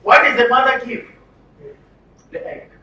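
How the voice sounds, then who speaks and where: A man's voice: very high-pitched, level tone, a little slow, sharp tone. A man with a Nigerian accent giving an interactive lecture to an audience, emphatic and often putting questions to the listeners. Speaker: speaker at a training session. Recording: roomy, distant mic with background noise.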